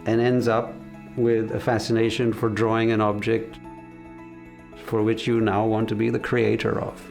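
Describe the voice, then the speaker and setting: A man in his fifties talks in a documentary interview, his low voice carrying a gravelly edge. He speaks slowly and thoughtfully, pausing often. Faint music plays beneath him. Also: monotone. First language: English. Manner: measured, reflective, with frequent hesitations. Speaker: car designer.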